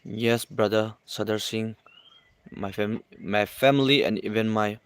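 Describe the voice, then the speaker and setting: A man with a fairly low voice hosts a relaxed livestream and answers viewers' comments one by one. His English is not his first language, so he speaks haltingly and restarts his sentences often. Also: smooth. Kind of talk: casual livestream chat.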